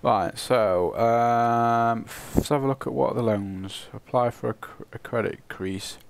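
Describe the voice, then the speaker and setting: A man with a croaky, cold-roughened voice, casually commentating his own gameplay and chuckling at his mistakes. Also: medium pitch, a little slow, slight background noise. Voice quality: croaky, gravelly. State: hoarse from a cold. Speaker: let's play host.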